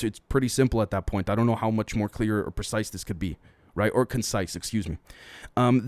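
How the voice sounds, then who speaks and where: A man's voice: lowish voice, darkish tone, dry recording. A man hosting a solo podcast, running through news items with opinionated, speculative commentary and often speaking directly to his listeners. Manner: frequently addresses listeners directly. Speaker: podcast host.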